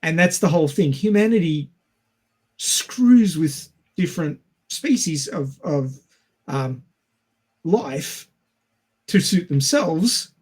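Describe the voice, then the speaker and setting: A man's voice: on the high side, expressive, takes it slowly, pauses often, a little mellow, slightly rough. A man in a casual discussion, explaining things step by step in a teacherly way. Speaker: podcast panellist.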